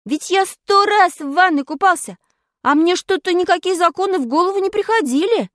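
Woman doing an excitable high-pitched schoolboy. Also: a little fast, no room sound, studio quality. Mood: curious, doubtful. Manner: exclamatory, questioning. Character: eager, excitable, doubting schoolboy. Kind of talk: children's story character.

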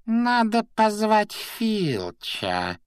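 A man's voice: sits high, huge pitch swings, very slow, clean tone, no room sound, voice pushed up, full character voice. A man voicing a spiteful, cowardly little poltergeist prankster in a whining, theatrical, obsequious storybook manner. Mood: suspicious, spiteful.

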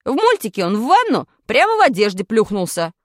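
A woman's voice: fairly high pitch, wildly swooping pitch, a little fast, dry recording, studio quality, voice pushed down. A woman voicing a brash schoolboy schemer in a children's story, insistent and self-justifying. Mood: matter-of-fact. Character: brash, clumsy, excuse-making schoolboy schemer.

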